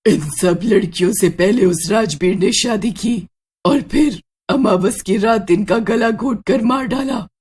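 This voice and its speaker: A woman voicing a solemn ghostly witch in a horror story, speaking in a slow, ominous way. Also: medium pitch, a little mellow, breathy edge. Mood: grave warning. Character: ghostly witch woman, eerie, solemn, helping from beyond death.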